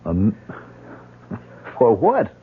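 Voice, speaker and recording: An actor playing an earnest, protective fiancé with a direct, insistent manner in old-time radio style. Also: male voice, fairly low pitch, huge pitch swings, very slow, husky, full character voice. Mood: questioning. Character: earnest, protective fiancé, a firm and probing leading man. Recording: noisy, tinny old radio recording.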